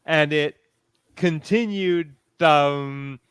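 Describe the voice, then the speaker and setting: A man's voice: a little high, extremely slow, fairly bright tone, clean tone, no echo, studio quality. A man speaking as a panelist in a casual, enthusiastic fan discussion.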